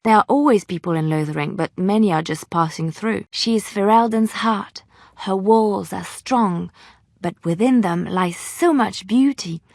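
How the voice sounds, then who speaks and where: A woman playing a gentle, devout young bard in a video game, with an earnest, lyrical storytelling manner. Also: medium pitch, dry recording, studio quality, close to an everyday voice.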